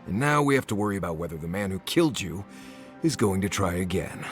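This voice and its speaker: A man's voice: low-pitched, expressive, fast talker, music in the background. A man playing an earnest, uneasy travelling companion in a fantasy video game, frank and casual in delivery. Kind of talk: naturalistic game voice acting.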